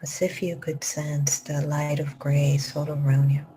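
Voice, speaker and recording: Slow, low-voiced woman guiding meditation. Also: level tone, a little hiss or hum. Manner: slow soft-spoken instructions, drifting into whispers. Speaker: meditation teacher. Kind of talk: guided meditation. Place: live group session.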